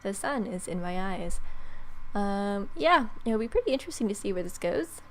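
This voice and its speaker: A woman's voice: mid-range voice, big pitch swings, moderate pace, fairly bright tone, a little hiss or hum, rough recording. A young adult woman speaking informally and unhurriedly to viewers as the host of a gaming video. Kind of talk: casual chat to viewers, signing off a let's play. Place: small bedroom at home.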